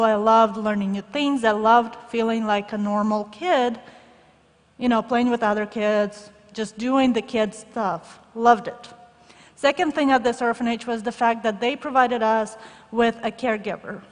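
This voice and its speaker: Woman from former Soviet Union in a hall. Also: a little high. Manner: candid, addresses audience directly with light humor. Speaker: guest speaker sharing her testimony. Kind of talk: personal testimony speech to an audience. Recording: long hall reverb.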